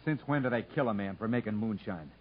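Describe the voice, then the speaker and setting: An actor playing a stubborn, proud rural moonshiner and husband with folksy country talk in a 1950s radio drama. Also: male voice, fairly low pitch, fast, natural voice. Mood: defiant. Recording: muffled 1950s radio broadcast recording.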